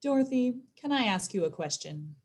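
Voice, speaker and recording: A woman's voice: mid-range voice, expressive, moderate pace, a little mellow. A woman playing a stern, exasperated grocery store supervisor, curt and chiding, in an audio drama. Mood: condescending.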